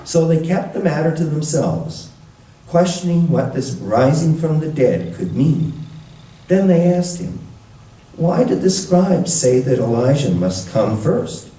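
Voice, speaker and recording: A man reading scripture to a church congregation in a measured, deliberate way, recorded with a roomy echo from a distant mic. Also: a little high, big pitch swings, warm tone. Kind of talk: scripture reading with brief introduction.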